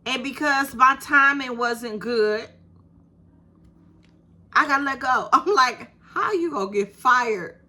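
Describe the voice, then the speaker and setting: A soft-voiced woman in her fifties talks slowly and takes her time. Her tone is bright and clear, and she keeps checking in with her listeners, asking if they hear her. Her voice sits a little high for a woman. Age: 50s. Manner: animated, encouraging, talks to viewers with "baby" and "you hear me". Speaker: YouTube creator. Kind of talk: casual direct-to-camera talk.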